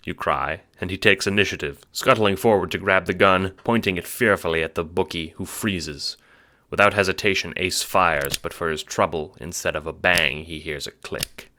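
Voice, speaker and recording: Deep-voiced man narrating audio drama. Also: moderate pace, bright, forceful tone. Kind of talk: dramatic scripted second-person narration.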